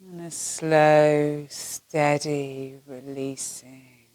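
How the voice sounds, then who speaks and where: A woman teaching yoga nidra, leading a guided relaxation in a soothing, gentle manner with long pauses between phrases. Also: low voice, little pitch movement, no echo.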